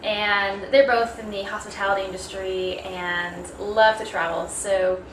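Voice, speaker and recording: A woman's voice: mid-range voice, flat delivery, measured pace, reverberant, noisy background. A young woman with a Canadian accent, chatting casually in a video blog and explaining things with plenty of fillers. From Toronto, Canada. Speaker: athlete video blogger.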